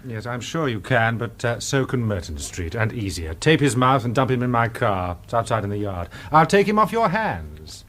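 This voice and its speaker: A man's voice: mid-range voice, close to an everyday voice. Man acting dry, needling spy boss. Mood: calm authority. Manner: unhurried, deadpan, needling. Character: cool, dryly cynical male intelligence department official. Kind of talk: British radio drama acting.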